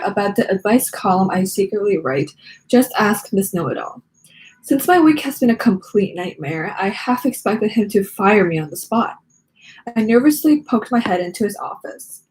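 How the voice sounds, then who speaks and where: A woman's voice: medium pitch, slight background noise, low-quality recording. A woman hosting a read-along, reading a children's book aloud with expression.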